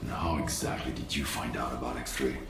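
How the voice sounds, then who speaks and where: A man acting an embittered, defiant loner in a video game cutscene, speaking in a measured, cynical, scornful way. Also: extremely low pitch, flat delivery, vocal fry. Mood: grim, resolute. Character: embittered, defiant male loner of a forbidden zone. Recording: roomy, echoing game audio.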